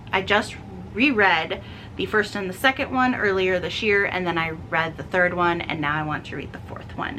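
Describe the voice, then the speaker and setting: A woman with a bright, forward voice talks casually like a book vlogger. Her delivery is chatty and rambling, with frequent self-corrections. There is some faint noise behind her. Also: mid-range voice, poor audio.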